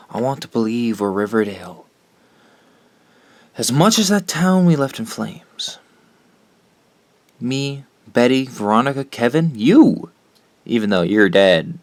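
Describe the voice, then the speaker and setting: A man's voice: medium pitch, animated, slow, pauses often, darkish tone, no room sound. An actor playing an earnest teenage boy who leads and narrates for a group of survivors in an audio drama. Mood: sincere. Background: music behind the voice.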